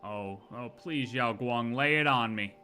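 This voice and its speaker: A man gives casual live commentary on a game. His voice is bright and medium in pitch, and he thinks aloud informally. Faint music plays in the background. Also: unhurried. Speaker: game streamer.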